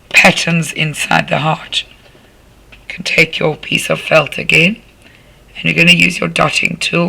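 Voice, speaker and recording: A man with a croaky voice, patiently walking a class through a craft demonstration step by step as their art tutor. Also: fairly high pitch, pushed and bright, rough recording. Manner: patient, instructive, talking while working with hands.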